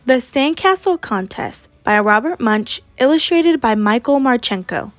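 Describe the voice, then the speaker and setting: A woman's voice: fairly high pitch, unhurried, dry recording, faint music behind. A young woman in her late teens reading a children's picture book aloud expressively. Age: teenager, high school senior. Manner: animated, acts out characters. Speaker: read-aloud storyteller.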